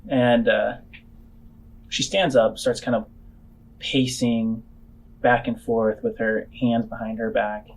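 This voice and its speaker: A man's voice: medium pitch, fairly even intonation, slow, long pauses, poor audio. A man narrating a tabletop game as game master, improvising and searching for his words.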